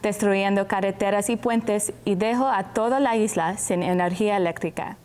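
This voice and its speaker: A woman's voice: mid-range voice, brisk, darkish tone. A woman presenting a scripted newscast as a news reader. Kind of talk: scripted Spanish-language newscast delivery.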